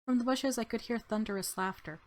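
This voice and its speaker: A woman's voice: fairly high pitch, fairly quick, slight background noise. A woman hosting a let's play, reading visual novel narration aloud expressively and adding informal reactions. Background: game music.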